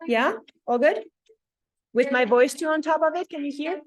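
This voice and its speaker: A woman's voice: sits high, normal speed, soft background music. A woman from France with a French accent, instructing a dance class in an encouraging way and often checking in with her students.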